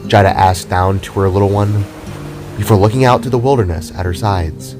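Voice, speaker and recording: A man's voice: on the low side, a little fast. A man reading scripted fantasy story narration as the narrator of an audio drama. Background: music.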